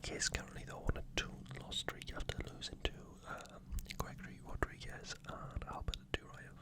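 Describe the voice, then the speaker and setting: ASMR host. Breathy, unvoiced whisper throughout, unscripted and informal, thinking aloud through a sports preview. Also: normal speed.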